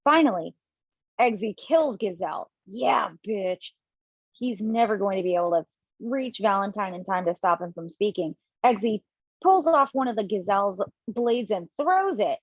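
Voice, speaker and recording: A woman narrating stage directions for an amateur table read over a video call, casual and chatty with little asides. Her voice is mid-pitched, and the sound is dry and somewhat dull, like a lo-fi call. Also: animated, moderate pace, slightly rough, no room sound. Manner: casual, chatty reading with asides and self-corrections.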